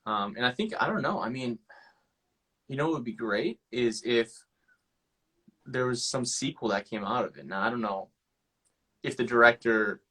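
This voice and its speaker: A young adult man hosting a casual live chat, relaxed and affirming as he talks. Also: medium pitch, normal speed, long pauses, husky. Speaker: host.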